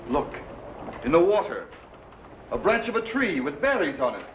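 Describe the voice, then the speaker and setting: A steadfast admiral at sea played by a man in a vintage radio adventure. He is firm and earnest, with a very animated, mid-pitched voice. The recording is dull, with faint noise behind it. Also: normal speed. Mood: earnest, insistent. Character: steadfast, commanding male ship's admiral and explorer. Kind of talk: old-time radio adventure acting.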